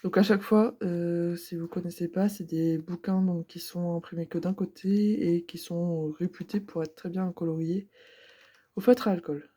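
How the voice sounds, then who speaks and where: A woman's voice: on the low side, fairly even intonation, moderate pace, mellow, breathy edge. Stuffy-nosed young woman chatting casually. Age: 20s. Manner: chatty, many hesitations. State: stuffy nose from a cold. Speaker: craft vlogger. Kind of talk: casual chat.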